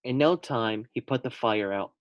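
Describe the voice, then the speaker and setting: A man's voice: medium pitch, normal speed, dry recording, lo-fi sound. A man reading a children's storybook aloud as a teacher, expressively, putting questions directly to young listeners.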